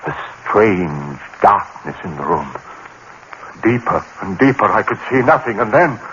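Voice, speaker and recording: A man narrating a radio horror drama in the first person, frantic and haunted, with sudden jumps into high pitch. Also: sing-song, unhurried, fairly bright tone. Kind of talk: 1930s radio horror acting. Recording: dull old radio broadcast with faint hiss.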